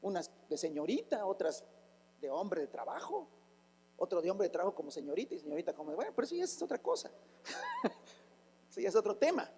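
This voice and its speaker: A man's voice: high-pitched, huge pitch swings, fairly quick, pauses often, dark tone, long reverb tail. A man, preaching a fervent sermon as a pastor, asking rhetorical questions and breaking into sudden exclamations. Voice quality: raspy, rough voice.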